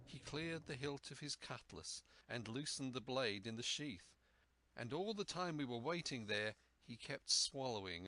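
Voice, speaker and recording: A man reading a story aloud as an expressive narrator. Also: medium pitch, background noise. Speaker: storyteller, audiobook reader.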